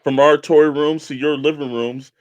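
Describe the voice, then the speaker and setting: A man's voice: fairly high pitch, steady tone, normal speed, no echo, rough recording. A man co-hosting a toy collecting radio show in casual chat, with informal, laid-back buddy banter.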